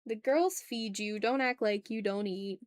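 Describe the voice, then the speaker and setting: A woman's voice: mid-range voice, a little slow, no echo, clean studio sound. A woman streamer talking casually and informally, half to herself and half to viewers, as she works on art. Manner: informal, self-critical muttering to herself and viewers. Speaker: streamer hosting an art livestream. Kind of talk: casual chat while drawing.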